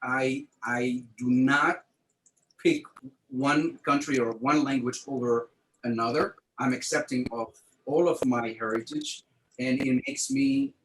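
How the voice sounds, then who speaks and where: A man's voice: mid-range voice, a little slow, fairly bright tone. A man telling a travel story on a casual podcast chat, with many repeated words and restarts. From Tunisia. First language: French. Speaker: podcast host. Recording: echoey room, faint noise.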